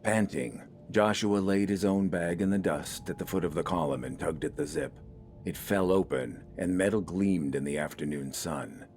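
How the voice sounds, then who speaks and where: A man's voice: low voice, normal speed, darkish tone. A man reading scripted fiction as the narrator of an audio story. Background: faint music.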